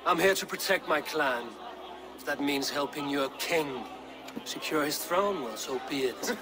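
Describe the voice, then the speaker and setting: An actor playing a confident, blunt Viking clan warrior with a dry, challenging manner in a game cutscene. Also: male voice, on the high side, expressive, unhurried, crowd noise. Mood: defiant. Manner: dry, challenging, self-assured. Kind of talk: video game cutscene acting.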